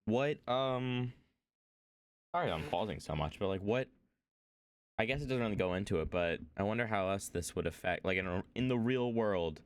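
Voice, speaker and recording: Low, smooth-voiced young guy streaming. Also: lively intonation, moderate pace. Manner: rambling, hesitant, with many fillers. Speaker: streamer reacting to a video. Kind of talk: casual reaction commentary.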